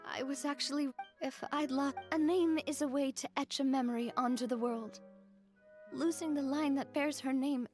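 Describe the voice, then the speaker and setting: A woman acts a poised young noblewoman who leads with courage and hidden hurt in an anime-style game. Her voice is high, measured and earnest, over faint music. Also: fairly even intonation, a little mellow, lightly acted. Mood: wistful, tender. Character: poised young noblewoman leader, brave, gracious, quietly wounded.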